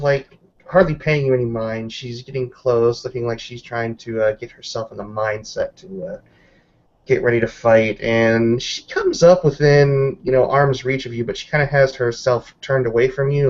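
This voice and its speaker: Low-voiced man in dull lo-fi audio. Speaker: player narrating a character's combat moves. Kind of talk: casual tabletop roleplay narration. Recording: dull lo-fi voice recording.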